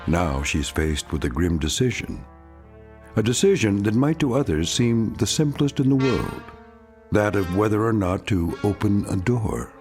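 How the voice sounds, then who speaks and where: A man performing as the solemn narrator of an eerie radio drama, measured and portentous. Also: lowish voice, expressive, darkish tone, faint music behind. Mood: ominous. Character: solemn, ominous narrator of eerie anthology tales.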